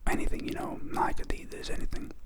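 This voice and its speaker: Guy whispering close to the mic, slow soft asmr q&a, lots of ums. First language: Greek. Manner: soft unhurried whisper with many fillers. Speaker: ASMR channel host.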